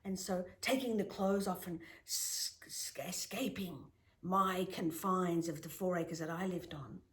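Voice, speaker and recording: A middle-aged woman whose mother tongue is Greek, telling reflective stories in an interview with emphatic rises and soft whispered asides. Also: fairly low pitch, steady tone, unhurried. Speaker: guest, spiritual healer.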